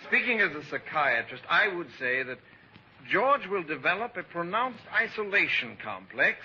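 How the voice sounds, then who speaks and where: A man's voice: on the high side, sharp tone, muffled recording, a little hiss or hum, exaggerated voice. A man playing an exasperated psychiatrist with dry, sardonic, mock-professional delivery in an old-time radio comedy, on a vintage recording. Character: exasperated male psychiatrist with dry, wry wit.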